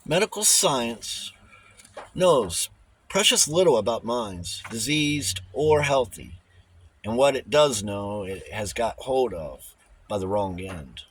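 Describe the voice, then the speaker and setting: A man with a husky voice reading a novel aloud as an audiobook narrator. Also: medium pitch, lively intonation, slow pace, a little hiss or hum. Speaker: audiobook reader. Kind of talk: scripted novel narration with character dialogue.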